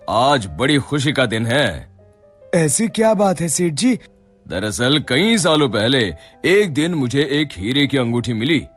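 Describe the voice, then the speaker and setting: A man's voice: mid-range voice, a bit bright. A man voicing a shrewd, kindly middle-aged merchant in a cartoon, theatrical and sly. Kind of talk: cartoon voice acting.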